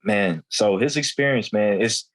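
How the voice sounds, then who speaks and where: A man's voice: on the low side, measured pace, a bit bright, low-quality recording. A young man in his twenties, a guest telling candid stories in loose, slangy conversation, often saying "you know what I'm saying.". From United States.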